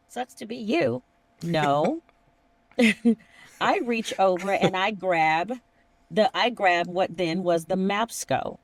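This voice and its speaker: A woman's voice: on the low side, dry recording. A middle-aged woman hosting a conversational discussion, speaking emphatically and slipping into imagined dialogue. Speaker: podcast host, emergency dispatch veteran.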